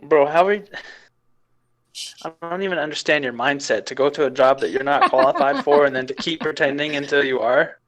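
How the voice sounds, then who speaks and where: A young man with a slightly raised pitch asks questions on a casual podcast. He sounds skeptical and keeps pressing for answers. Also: fairly quick, fairly bright tone. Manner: questioning, incredulous. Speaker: podcast co-host. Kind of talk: casual chat.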